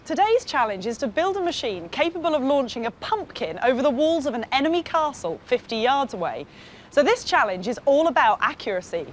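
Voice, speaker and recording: A woman co-hosting a competition show, announcing the challenge in a crisp, emphatic way. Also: sits high, sing-song, fairly quick, slight background noise. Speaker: co-host.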